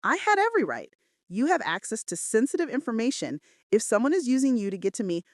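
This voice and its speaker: A brooding, controlling male mafia boss, as a woman narrator puts him on in a romance audiobook. He speaks tersely and commands every exchange. The pitch swings widely and the recording is dry. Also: very animated, quick pace, dry recording. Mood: angry, defensive. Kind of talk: dramatic romance audiobook dialogue.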